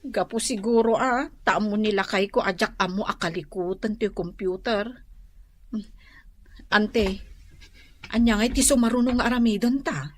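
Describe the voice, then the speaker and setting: A woman's voice: medium pitch, moderate pace, lightly acted. A woman playing a suspicious young wife in a radio drama, speaking with an Ilocano Filipino accent. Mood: hurt, suspicious. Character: suspicious young wife, niece scheming with her aunt.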